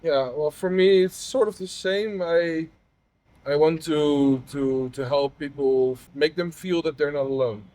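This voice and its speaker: Man, 30s, from the Netherlands, halting, open, personal conversation. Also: a little high, warm tone. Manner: halting, many fillers and restarts. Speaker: patient advocate interviewer.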